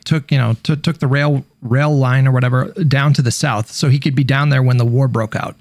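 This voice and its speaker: A man telling a story casually in a halting, informal way with some swearing, at times reading aloud. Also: mid-range voice, no echo, clean studio sound.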